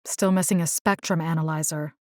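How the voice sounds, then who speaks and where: A woman's voice: deep voice, steady tone, brisk, no echo, natural voice. A tough young Puerto Rican woman engineer played by an actress, recorded very dry and close. She is a wisecracking video game fighter with a sassy, slangy tongue that slips into Spanish. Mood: focused. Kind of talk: video game character voice lines.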